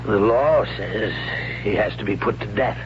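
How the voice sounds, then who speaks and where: A man plays an older warrior who teaches swordsmanship in a radio play. He is gruff and blunt, giving instruction like a veteran. The sound is dull and slightly noisy, like old radio. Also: on the low side, moderate pace, lightly acted. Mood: blunt, grave. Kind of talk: 1970s radio drama acting.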